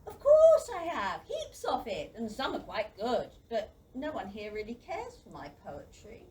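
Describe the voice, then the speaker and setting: A woman's voice: fairly high pitch, wildly swooping pitch, measured pace, mellow. A woman playing an earnest, bookish village boy in a children's audio play, blunt but kind, by turns hesitant and exasperated. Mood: wistful. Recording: noisy, slightly distant microphone.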